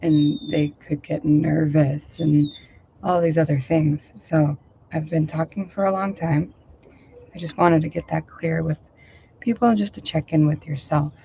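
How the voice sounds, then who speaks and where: A woman meditation teacher, a little hoarse today, talking casually and rambling with many fillers before leading a guided meditation outdoors. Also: sits low, fairly even intonation, unhurried, lo-fi sound, a little hiss or hum. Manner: rambling, filler-heavy, gentle. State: slightly hoarse, low voice.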